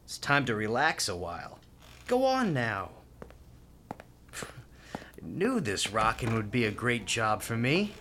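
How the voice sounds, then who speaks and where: A man's voice: medium pitch, wildly swooping pitch, takes it slowly, long pauses, background noise, full character voice. An actor playing a cheerful, kindhearted male hospital volunteer in a radio play, folksy, playful and talkative. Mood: warm, chatty.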